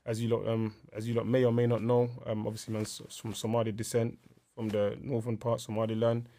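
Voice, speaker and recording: A man's voice: on the low side, completely flat delivery, a little fast, dark tone. A man with a South London accent chatting casually as a podcast guest, using heavy street slang and frequent tag questions. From South London, England. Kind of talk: casual chat.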